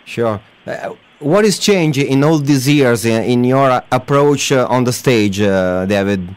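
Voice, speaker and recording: A man with an Italian accent interviewing for radio in non-native English, haltingly searching for his words. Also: mid-range voice, lively intonation, clean tone. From Italy. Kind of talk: interview questions.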